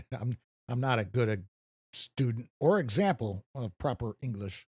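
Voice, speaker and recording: A man's voice: medium pitch, lively intonation, unhurried, slightly rough, no room sound, studio quality. An American man casually chatting while streaming a farming game, rambling and poking fun at himself. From United States. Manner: rambling, self-deprecating, chuckles at himself. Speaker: gaming streamer. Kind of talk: casual live stream commentary.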